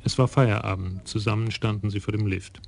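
A man narrating a crime radio play in an even, measured way. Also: on the low side, gravelly.

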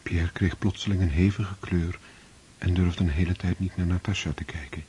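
A male narrator reading a literary story in a measured, scripted way. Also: sits low, steady tone, mellow, slight background noise. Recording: dull lo-fi recording.

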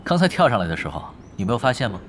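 An actor playing a cool-headed veteran gamer who leads his team, calmly explaining tactics in an audio drama. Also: lowish voice, very fast, slight background noise. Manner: calm, confident, explains tactics patiently. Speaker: expert player leading a team.

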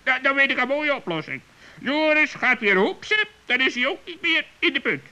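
A wise, opinionated old bird for a children's radio play. The voice is very high and piercingly sharp, breaking into hesitant exclamations. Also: male voice, very animated, moderate pace, full character voice. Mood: clever, insistent.

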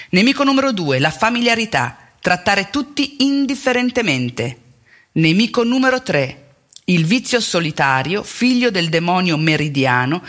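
A woman's voice: mid-range voice, fairly bright tone. A woman delivering a theatrical monologue as narrator, with an emphatic, wry, mock-solemn manner. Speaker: stage actress and narrator.

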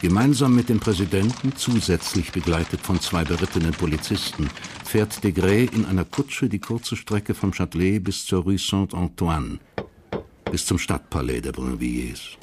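Low-voiced, sober male radio drama narrator. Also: lively intonation, background noise. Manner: sober, measured, detached. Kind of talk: scripted literary narration for a radio crime drama.

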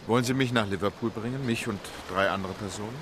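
An actor playing a calm, determined English gentleman traveller in a radio play, speaking in a composed, matter-of-fact way. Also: on the low side, quick pace, a little hiss or hum, lightly acted.

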